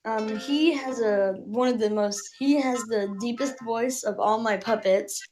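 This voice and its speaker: A woman speaking casually and haltingly, as if presenting show-and-tell, searching for words. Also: a little high, darkish tone. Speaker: puppeteer guest on the show. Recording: music behind the voice.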